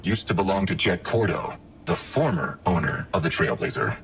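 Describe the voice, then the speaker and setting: A man playing a stern, distrustful droid with formal, deadpan delivery in a video game. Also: deep voice, normal speed, creaky, exaggerated voice. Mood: matter-of-fact. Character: stern, distrustful battle droid, male, coolly precise.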